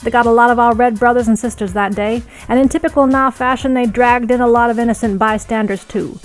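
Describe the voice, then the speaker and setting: A woman's voice: fairly high pitch, fairly even intonation, brisk, faint music behind, pitched above the performer's own voice, close to an everyday voice. A woman voicing a brash, smitten male rebel radio broadcaster in an audiobook, with theatrical, emphatic delivery. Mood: indignant, excited.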